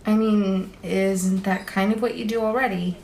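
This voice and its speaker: A young woman playing an earnest college student in an audio drama, talking casually in a halting, questioning way. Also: mid-range voice, fairly even intonation, darkish tone, lightly acted. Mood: incredulous, prodding. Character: earnest, hesitant young college student, thief's incredulous friend. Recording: roomy echo, faint background noise.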